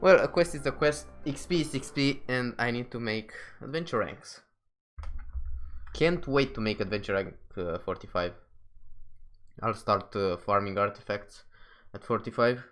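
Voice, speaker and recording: A man's voice: medium pitch, moderate pace, a bit bright, faint music behind. A man giving casual commentary as he plays through a video game. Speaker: gameplay host.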